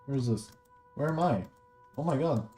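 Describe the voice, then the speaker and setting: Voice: medium pitch, lively intonation, moderate pace, mellow, soft background music. A game streamer chatting casually and informally, reacting and muttering to themselves during a live stream.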